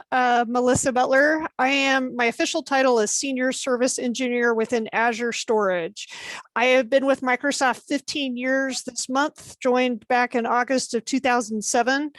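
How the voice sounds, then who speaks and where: Woman engineer on a panel, highish voice, dry and close. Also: dry recording. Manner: plain, explanatory, with some restarts. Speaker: senior service engineer, panelist. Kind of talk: casual panel introduction. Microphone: video call.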